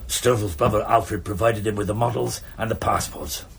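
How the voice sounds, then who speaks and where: An actor playing a shrewd, drily courteous police chief inspector in a naturalistic radio drama, with measured, understated delivery. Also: male voice, fairly low pitch, steady tone, gravelly, breathy edge, full character voice. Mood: measured explaining. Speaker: actor in a detective radio play.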